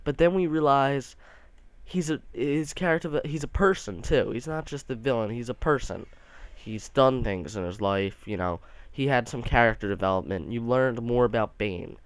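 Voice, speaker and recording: A young man talks through a movie review off the cuff, enthusiastic and full of restarts. His voice is smooth and clear, with a slight nasal stuffiness from allergies, and sits in the middle of the male pitch range. The recording is close and dry. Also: moderate pace, no room sound. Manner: rambling, enthusiastic, many restarts.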